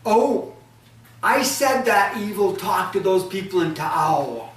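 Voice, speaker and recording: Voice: mid-range voice, lively intonation, takes it slowly, pitched above the performer's own voice. A boastful young trickster man whose voice jumps well above the teller's own and swings widely, as a storyteller puts him on. He sounds distant, with a roomy echo and faint ambience around him. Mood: pleading. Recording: echoey room, from far off, faint ambience.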